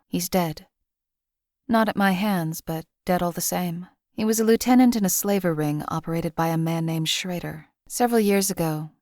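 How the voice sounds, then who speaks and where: A woman voicing a young female assassin in a game, speaking in a flat, clipped, unemotional way. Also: lowish voice, no echo, clean studio sound, natural voice. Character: cold detached female assassin, young adult. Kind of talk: game companion voice lines.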